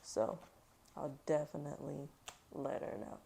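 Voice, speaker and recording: A woman's voice: low-pitched, measured pace, vocal fry, dark tone, a little hiss or hum. A woman hosting a children's book read-aloud, mixing storybook narration with casual commentary. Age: adult mother.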